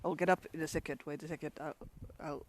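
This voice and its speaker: A woman's voice: deep voice, fairly even intonation, quick pace, slight background noise. A woman from Finland with a Finnish accent, chatting casually outdoors in a pine forest for a travel vlog and laughing often between restarts. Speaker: travel vlogger.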